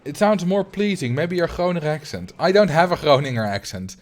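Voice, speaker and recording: A man's voice: on the high side, lively intonation, fairly quick. A man from the Netherlands with a noticeable but mild Dutch accent, a native Dutch speaker chatting casually and in a rambling way as he streams a game. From Netherlands, grew up in Drenthe. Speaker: video game livestreamer.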